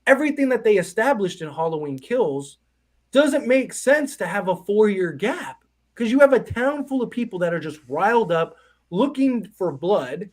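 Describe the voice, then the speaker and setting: A man around thirty co-hosting a live horror movie stream, talking in long, animated, rambling stretches full of restarts. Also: high-pitched, moderate pace. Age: 30s. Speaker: co-host.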